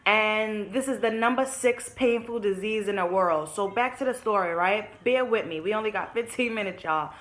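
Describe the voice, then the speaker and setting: A woman's voice: medium pitch, moderate pace, few pauses, fairly bright tone. A woman in her early twenties telling her story to viewers in a candid vlog, frank and casual, swearing freely and calling her audience y'all. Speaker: vlogger.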